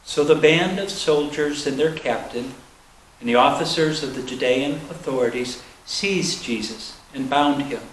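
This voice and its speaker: A man's voice: mid-range voice, a little slow, a bit bright. A man reading scripture aloud in a solemn style at a church service. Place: large indoor church space. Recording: echoey room with faint noise.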